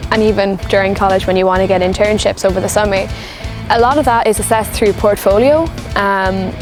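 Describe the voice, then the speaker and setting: A twenty-year-old woman, talking as a student being interviewed, in a measured conversational way. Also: mid-range voice.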